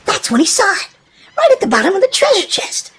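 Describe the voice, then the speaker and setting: A woman's voice: very high-pitched, huge pitch swings, brisk, fairly bright tone. A woman narrating a children's storybook in a dramatic, emphatic scripted read-aloud style for young listeners.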